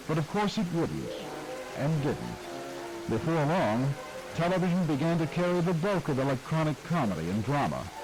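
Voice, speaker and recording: A man giving scripted documentary narration about old-time radio, smooth and wry, like a showman. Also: mid-range voice, low-quality recording.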